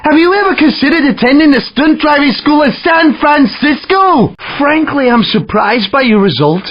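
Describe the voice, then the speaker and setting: An actor playing a laid-back British bloke, chatting casually and colloquially as a city driving guide in a racing video game. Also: male voice, very high-pitched, animated, normal speed. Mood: easygoing, encouraging. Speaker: game announcer commenting on races. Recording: slightly dull lo-fi game audio.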